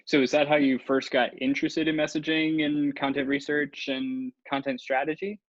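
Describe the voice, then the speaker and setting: A man's voice: fairly high pitch, level tone, normal speed, no room sound. Upbeat male interview host, dry muffled sound. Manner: upbeat, brief encouragements. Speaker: interview host, account manager. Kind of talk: friendly interview hosting.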